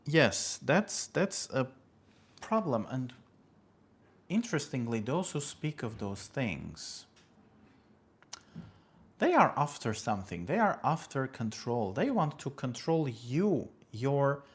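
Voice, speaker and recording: Man from Armenia chatting on a livestream. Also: mid-range voice. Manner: chatty, thanks viewers by name, with fillers and asides. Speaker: livestream host. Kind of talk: casual livestream talk reading viewer comments. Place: home in California.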